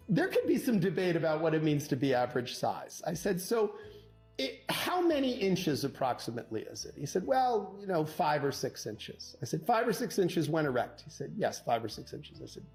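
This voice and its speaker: A man telling a courtroom anecdote as a divorce lawyer, in a punchy conversational way, acting out quoted dialogue with dramatic pauses. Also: fairly high pitch, a little mellow. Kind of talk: animated conversational storytelling.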